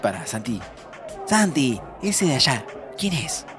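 A man's voice: medium pitch, over music. An actor playing a playful, curious time traveller who teases his friend expressively in a Buenos Aires accent, in a fiction podcast. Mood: bemused, excited. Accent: Argentine, Buenos Aires. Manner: teasing, expressive, drawn-out. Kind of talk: naturalistic fiction podcast dialogue.

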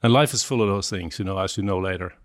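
A Norwegian man in his fifties is the guest in a casual interview. He speaks in a fairly low voice with a Norwegian accent. He stops and restarts often and fills pauses with "uh" and "um.". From Norway. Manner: hesitant, with many fillers and restarts.

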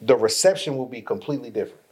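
A man's voice: mid-range voice, rapid-fire, a little mellow, husky. A man co-hosting a casual podcast chat, who says he stutters and often restarts his words. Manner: laughing, restarting and repeating himself. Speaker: podcast co-host.

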